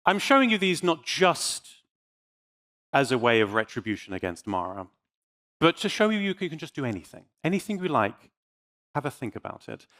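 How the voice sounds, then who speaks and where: A man speaks as a presenter at an informal tech conference. His pitch moves around a lot, giving an animated delivery. He is playful and drily joking, often mock-defiant with his listeners. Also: moderate pace.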